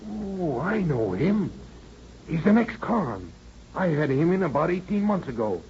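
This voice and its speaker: An actor playing a confident, slangy American detective lieutenant with a husky, rough voice in an old radio drama. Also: male voice, fairly high pitch, sing-song, a little slow, darkish tone, slight background noise. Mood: matter-of-fact, confident. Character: wisecracking, know-it-all police detective lieutenant. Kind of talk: 1930s radio acting. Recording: muffled vintage radio recording.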